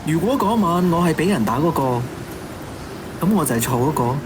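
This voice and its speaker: Man acting animated, cynical Cantonese serial killer. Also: on the high side, a little fast, noisy background, lightly acted. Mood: brooding, confessional. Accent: Hong Kong Cantonese. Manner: theatrical taunting monologue with scornful laughs. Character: unrepentant male serial killer, self-styled executioner, cynical, fanatical. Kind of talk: radio drama acting.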